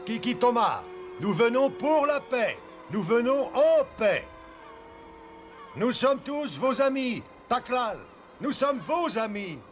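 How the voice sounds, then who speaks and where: Friendly expedition interpreter, historical cartoon, slow simple phrasing, animated high pitch. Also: male voice, soft background music, exaggerated voice. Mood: placating. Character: peaceable expedition interpreter, adult man, careful and friendly.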